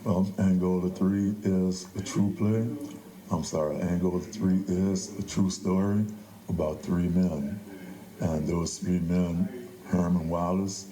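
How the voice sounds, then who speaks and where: A man's voice: low-pitched, fairly even intonation, slow, warm tone, a little hiss or hum. An older man, a playwright telling his story conversationally in an interview. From New Orleans, Louisiana. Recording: echoey room with crowd murmur.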